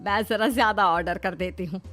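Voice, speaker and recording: A woman's voice: medium pitch, a little fast, soft background music, voice pushed down, lightly acted. Woman acting a bossy, effusive Indian mother-in-law. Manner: loud, effusive, ordering her daughter-in-law about. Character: bossy, lavishly generous middle-aged Indian mother-in-law.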